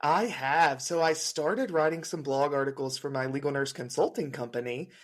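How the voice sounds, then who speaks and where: A man's voice: on the high side, moderate pace. A man appearing as a guest on a podcast, chatting casually with many fillers and laughs. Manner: laughs often, with frequent fillers.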